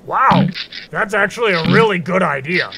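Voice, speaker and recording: A man's voice: sits high, expressive, normal speed, creaky, sharp tone, a little hiss or hum, exaggerated voice. A man playing a laid-back, reluctant but kindly young magician in an audio drama, casual and wry.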